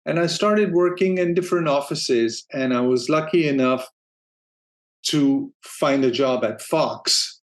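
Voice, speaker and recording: A man's voice: medium pitch, unhurried. Danish-born man telling his career story. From Denmark. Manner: relaxed storytelling, laughs easily, frequent restarts. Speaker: guest, streaming operations manager. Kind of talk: casual interview talk.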